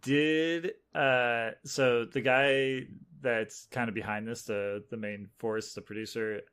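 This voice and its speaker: A man's voice: medium pitch, fairly even intonation, takes it slowly, fairly bright tone, clean tone. A man co-hosting a casual pop-culture podcast, feeling his way through questions and explanations in a hesitant manner. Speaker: podcast co-host.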